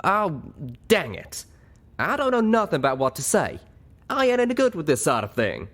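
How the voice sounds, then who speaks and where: Man playing a folksy cowboy pony, raised pitch. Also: wildly swooping pitch, moderate pace, fairly bright tone, heavily acted. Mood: flustered. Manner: folksy, ungrammatical drawl. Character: young male cowboy pony, frustrated, gruff, plain-spoken. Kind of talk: audiobook character voice.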